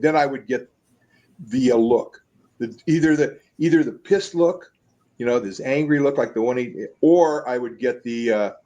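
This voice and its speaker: An older man in his seventies tells stories over a video call from home. His voice sits at a middle pitch, and he rambles, stopping and restarting his sentences often. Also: a little mellow. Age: 70s. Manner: rambling, halting with many restarts. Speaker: guest. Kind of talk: casual storytelling. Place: home room.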